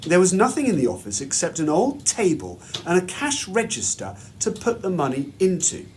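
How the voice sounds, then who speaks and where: Guy reading kids bedtime story, really swoopy expressive voice, bit of background noise. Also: high-pitched. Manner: measured, deliberate, storytelling delivery. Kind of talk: expressive children's storybook narration.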